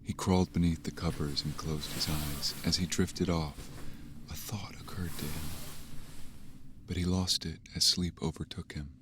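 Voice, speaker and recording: Very deep-voiced horror narrator. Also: male voice, fairly even intonation, normal speed, noisy background. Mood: ominous, calm. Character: grave storyteller narrating an unsettling domestic horror tale. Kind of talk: scripted audio drama narration.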